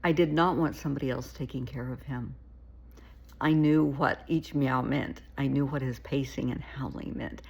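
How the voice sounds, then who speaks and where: A woman therapist giving casual, earnest advice to camera, her voice gravelly and shaky from an oncoming cold, often pleading and repeating herself. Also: a bass voice, steady tone, moderate pace. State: getting a cold.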